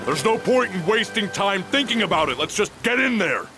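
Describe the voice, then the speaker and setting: An actor playing a hot-headed, impatient warrior who speaks brashly. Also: male voice, sits high, sing-song, moderate pace. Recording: game audio with loud music.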